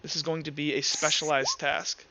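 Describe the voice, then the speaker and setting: A man's voice: a little high, little pitch movement, moderate pace, a crowd in the background. A man improvising narration as a tabletop game master, informal and hesitant as he pieces the scene together.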